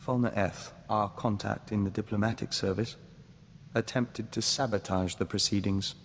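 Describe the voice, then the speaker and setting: A low-pitched, reverberant voice of a gentle hive-mind diplomat acted by a man. He speaks in a formal, measured way, with devotion, as game dialogue over noticeable background noise. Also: steady tone, lightly acted. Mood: grave, formal. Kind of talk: scripted video game dialogue acting. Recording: long reverb, noisy game ambience.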